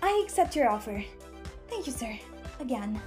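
Woman acting high-voiced, polite young angel assistant. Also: normal speed. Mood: respectful. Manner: polite, expressive. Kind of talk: comic dub voice acting. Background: background music.

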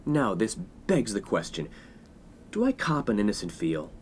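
A man's voice: fairly low pitch, huge pitch swings, unhurried, a little mellow, slight background noise. An actor playing a smug, spoiled, lecherous 1950s college man in a comedic radio play, talking in a pompous, mock-philosophical way. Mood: scheming, musing. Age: young adult.

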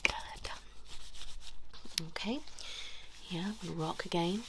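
A woman's voice: fairly low pitch, takes it slowly, creaky. A woman in her thirties playing a chiropractor in an ASMR roleplay, giving short instructions and praise up close, slipping in and out of whispers. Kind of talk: fast ASMR roleplay, personal attention. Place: small indoor room. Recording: noisy lo-fi audio with clicks.

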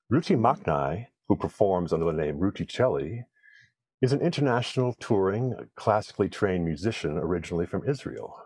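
A man's voice: sits low, a little mellow, slightly rough, dry recording. A man in his fifties hosting an online summit interview, reading the intro and then chatting loosely, from a home office on a video call. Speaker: summit host. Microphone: video call webcam.

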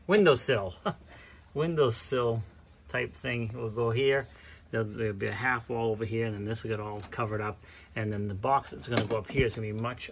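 A man's voice: mid-range voice, a bit bright. A middle-aged man talking casually to a handheld camera inside a school bus, searching for words and thinking aloud as he works. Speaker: vlogger converting a school bus. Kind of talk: casual vlog walkthrough. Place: inside a parked school bus, then driving it. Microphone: handheld phone camera. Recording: faint engine noise in a bus. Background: faint bus engine and outdoor ambience.

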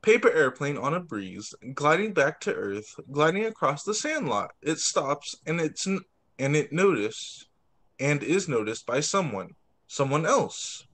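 A man teacher reading picture books aloud to young children on a video call, expressive, drawing out words and adding child-friendly asides. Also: fairly high pitch, a little slow. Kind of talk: animated read-aloud story time for young children.

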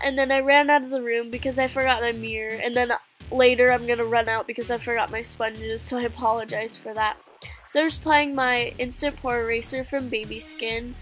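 A woman's voice: on the high side, fairly bright tone. A teenage girl with a stuffy, nasal voice chatting casually as she narrates her makeup routine. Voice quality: nasal, congested. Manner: chatty, with fillers like "um" and "like". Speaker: beauty vlogger. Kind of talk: casual get-ready-with-me voice-over. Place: home living room. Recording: music playing behind the voice.

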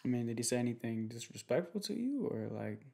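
A young man speaking in a casual, slangy, halting way in an ASMR boyfriend roleplay. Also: medium pitch, very animated, creaky, a little mellow. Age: young adult. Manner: slangy, laid-back, halting with repeated phrases. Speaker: ASMR creator playing a protective boyfriend. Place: small home room, indoors.

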